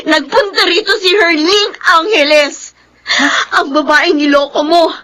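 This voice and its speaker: A very high, tearful young woman in a radio play, accusing and wounded. Her voice sits far up in its range and trembles with emotion. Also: steady tone, a little slow, sharp tone, heavily acted. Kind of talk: Filipino radio drama acting.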